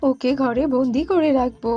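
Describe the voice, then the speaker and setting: A woman's voice: high voice, normal speed, dark tone, close to an everyday voice. A woman voicing an exasperated, scolding mother bird in a cartoon. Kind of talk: cartoon dubbing. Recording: muffled audio with background music.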